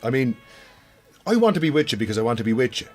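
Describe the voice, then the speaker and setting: An actor playing a weary, evasive Irish farmer husband in a naturalistic radio drama, with an Irish accent. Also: male voice, medium pitch, big pitch swings, fast talker, faint music behind. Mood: exasperated.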